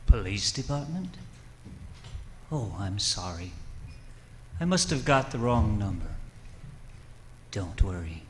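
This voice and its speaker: A man playing a cold, unhurried killer posing as a harmless caller in a radio suspense play, hushed and calm. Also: on the low side, a little hiss or hum. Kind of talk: old-time radio suspense acting.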